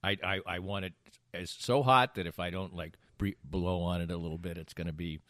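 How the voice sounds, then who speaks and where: A man's voice: low voice, steady tone, a little fast, fairly bright tone, no echo, studio quality. A middle-aged man in casual banter, rambling and emphatic, often repeating himself as he goes. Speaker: radio show and podcast host.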